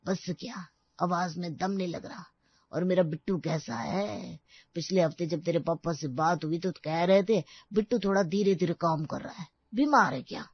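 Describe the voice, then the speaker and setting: An emotional old village uncle from an audio story, voiced by a woman. The voice sits a little low and speaks with dramatic, doting exclamations. The recording is dry and a bit dull. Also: normal speed, breathy edge, no echo. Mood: hearty, curious.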